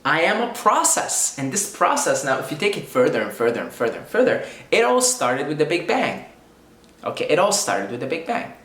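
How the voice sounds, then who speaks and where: A man's voice: fairly high pitch, very animated, fairly quick, fairly bright tone, roomy sound. A man from Syria whose first language is Arabic, thinking aloud in a reflective spiritual monologue, repeating his phrases as he goes. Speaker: video creator speaking on spirituality.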